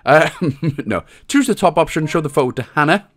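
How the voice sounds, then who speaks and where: A man's voice: on the high side, expressive, brisk. A man with a Welsh accent giving a casual game walkthrough with cheeky, jokey asides. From Wales. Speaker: video game guide host.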